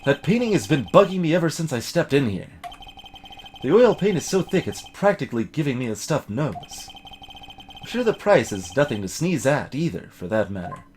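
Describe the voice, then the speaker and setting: A man's voice: on the high side, sing-song, moderate pace, a little mellow, slight background noise. A man reading as a wry young lawyer. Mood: wry musing. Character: young male defense lawyer, wry inner narration. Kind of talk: voiced visual novel game reading.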